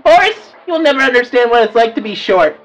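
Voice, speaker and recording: Woman voicing a high-pitched, whiny little cartoon devil. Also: sing-song, fairly quick, distant mic, faint music behind, rough recording. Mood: indignant. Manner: whiny, petulant, hesitant complaining. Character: short, grumpy, whiny cartoon devil who hates work. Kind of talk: improvised cartoon roleplay.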